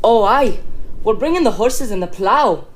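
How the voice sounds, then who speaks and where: A woman's voice: on the high side, lively intonation, normal speed, rough recording. A woman acting a practical, friendly Scottish country rescuer with a Scottish accent in a period audio drama.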